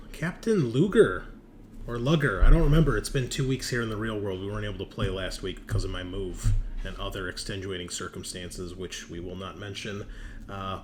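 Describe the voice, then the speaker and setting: A man chatting informally and chummily as a podcast host and game master. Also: fairly low pitch. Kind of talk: casual podcast chat. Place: room at a new home. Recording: clearly noisy home recording.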